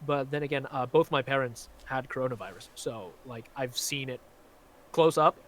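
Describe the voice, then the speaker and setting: A man's voice: medium pitch, moderate pace, a bit bright, poor audio. A man with a faint Glaswegian accent blended with American speech, a magician chatting casually on a live stream with lots of fillers. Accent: faint Glaswegian mixed with American. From Scotland. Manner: chatty, rambling, many fillers.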